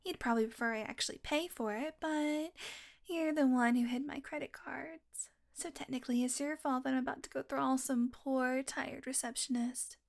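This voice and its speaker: A woman playing a sardonic, teasing, predatory vampire with a soft spot, in an intimate, sweary audio roleplay monologue that often drops to a murmur. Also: high voice, fairly even intonation, normal speed, vocal fry. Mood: teasing, tempted.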